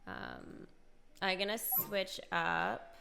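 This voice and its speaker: A woman's voice: mid-range voice, big pitch swings, very slow, gravelly. A woman streaming a video game, giving casual, chatty commentary as she plays. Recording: faint game music behind.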